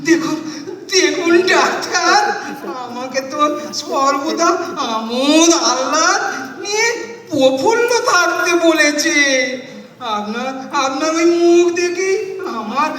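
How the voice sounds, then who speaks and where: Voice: high-pitched, expressive, slow pace, fairly bright tone, full character voice. An actor playing a sickly, fearful train passenger in a theatrical audio drama, stammering, repeating words and whimpering. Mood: pleading, distressed. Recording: echoey hall, distant microphone.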